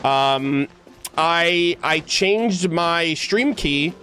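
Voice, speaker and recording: A man's voice: fairly high pitch, extremely slow, sharp tone, smooth. A man streaming, chatting casually and rambling as he thinks aloud to his viewers. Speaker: livestreamer, host. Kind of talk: casual livestream chat. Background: music.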